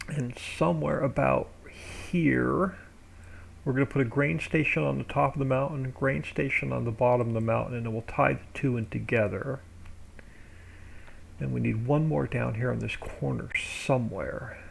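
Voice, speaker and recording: A man with a very gravelly voice of ordinary pitch, talking loosely and steadily as he works. He thinks out loud in a casual, rambling way into a home desk microphone, with faint noise in the background. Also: normal speed. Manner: thinks aloud while working, relaxed and rambling. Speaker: live streamer building a game map. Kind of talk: casual live-stream commentary.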